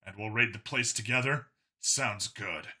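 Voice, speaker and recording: A man voicing a gruff, weary, irritable human cop in a science fiction audiobook, grumbling and blunt. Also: lowish voice, unhurried, sharp tone, voice pushed down, full character voice. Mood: businesslike.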